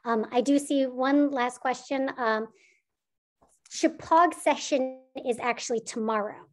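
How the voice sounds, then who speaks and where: A woman's voice: high voice, fairly even intonation, measured pace, a little mellow. A woman school administrator answering parents' questions in an online information session, reassuring and with frequent ums.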